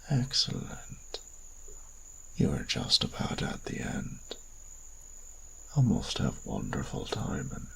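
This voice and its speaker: An extremely low, crackling male creature of darkness, from a fantasy audio drama. He speaks slowly and with arch, teasing politeness, sliding into breathy whispers with a quietly sinister edge. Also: heavily acted. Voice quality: very deep, gravelly, breathy near-whisper. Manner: formal, measured, archly polite, teasing. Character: sinister, courtly, teasing dark magical being, male. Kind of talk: fantasy audio roleplay acting.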